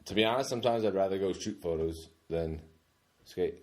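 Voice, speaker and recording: Man, 30s, very deep voice, laid-back rambling talker. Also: a little fast. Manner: rambling, lots of "dude" and "like". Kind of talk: casual chat.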